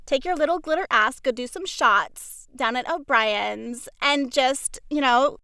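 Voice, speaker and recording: A woman's voice: high voice, a little slow, sharp tone, clear, resonant tone, no room sound, studio quality. A woman cast member in casual banter, with playful mock outrage and salty language.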